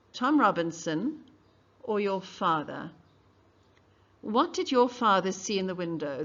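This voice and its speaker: A woman reading the part of a patient, middle-aged male defense lawyer, questioning in a measured way. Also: mid-range voice, a bit bright. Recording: dull lo-fi recording.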